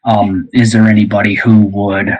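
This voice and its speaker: A man's voice: on the low side, level tone, normal speed, dull audio. A man speaking casually as a host, rambling along with plenty of you-knows. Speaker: host and game creator. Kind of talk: casual conversational interview.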